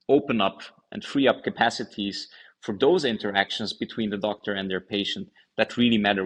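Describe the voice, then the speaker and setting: A man's voice: on the low side, fairly bright tone. A man in his thirties from Hungary, a company founder answering interview questions in an explanatory way.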